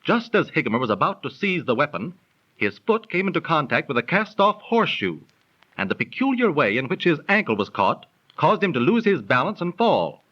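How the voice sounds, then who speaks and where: An actor playing a knowledgeable, friendly dinner guest who explains and narrates in a measured, declamatory 1930s radio style. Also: male voice, on the high side, sing-song. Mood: earnest storytelling. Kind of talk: 1930s radio acting, scripted storytelling. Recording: muffled, lo-fi 1930s radio recording.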